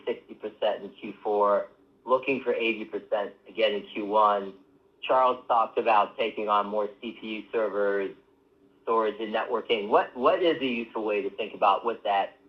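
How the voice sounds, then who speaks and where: A man asking questions as an analyst on an earnings call, polite and full of restarts. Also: fairly low pitch. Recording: muffled phone-line audio.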